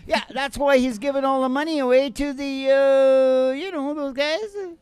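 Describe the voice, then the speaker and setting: An older man telling casual stories in an animated, folksy, joking way. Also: very high voice, moderate pace, no room sound. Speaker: podcast host and musician. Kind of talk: casual stage banter. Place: small curtained stage, indoors. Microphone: stage microphone on stand.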